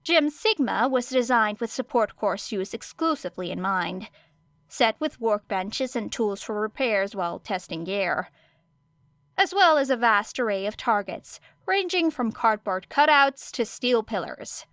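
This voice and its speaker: A woman's voice: fairly high pitch, animated, unhurried, a bit bright, no room sound, clean studio sound. A woman reading scripted fiction as an audiobook narrator.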